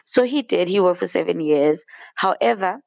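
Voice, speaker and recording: A woman talks in a low, deep register, sounding fluey and a little breathless as she chats upbeat about faith. The sound is close and dry, with a slightly dull, lo-fi quality. Her delivery is casual and storytelling. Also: expressive, moderate pace, creaky, darkish tone, dry recording. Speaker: vlogger. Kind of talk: casual inspirational talk to camera.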